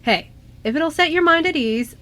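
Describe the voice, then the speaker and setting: A woman voices a forgetful, playful young guy who happens to be a ghost. The pitch moves around a lot, and the casual delivery halts and hesitates, as in a homemade radio drama. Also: lively intonation, normal speed, fairly bright tone. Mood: playful, assured. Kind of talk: amateur online radio drama reading.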